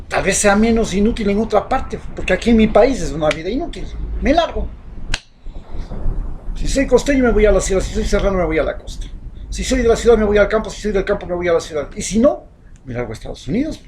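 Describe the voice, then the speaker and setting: A man with an Ecuadorian accent delivering an impassioned, rhetorical opinion monologue as a social commentator, swinging between loud outbursts and hushed asides. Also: high-pitched, animated, fast talker, slight background noise, rough recording.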